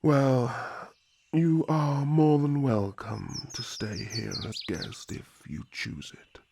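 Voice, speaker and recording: A man playing an older elf High King in a fantasy ASMR roleplay, calm, formal and soothing, often dropping to a whisper. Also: takes it slowly, creaky, a little hiss or hum. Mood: gentle, apologetic. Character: regal elf High King, calm, kindly, protective father.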